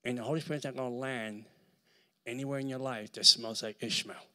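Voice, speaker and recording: Fervent Puerto Rican preacher, bright voice. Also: male voice, medium pitch, steady tone, brisk. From Puerto Rico. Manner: fervent, swings from shouting to near-whisper. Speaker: guest preacher. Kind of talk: sermon. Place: large church hall with live audience. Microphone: handheld mic.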